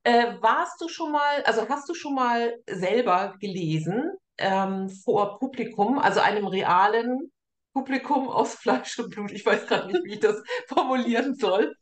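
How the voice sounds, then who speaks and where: Chatty lady interviewer, voice a bit high, laughs a lot, echoey roomy sound. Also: lively intonation, a little slow. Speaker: interviewer and fellow author. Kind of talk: casual interview chat.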